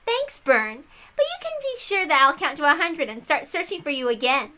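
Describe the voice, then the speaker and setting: A woman's voice: high-pitched, sing-song, normal speed, bright, forceful tone, pitched above the performer's own voice, exaggerated voice. A woman voicing a playful, cruel young witch girl in a visual novel read-aloud, with a theatrical, giggly, sing-song manner.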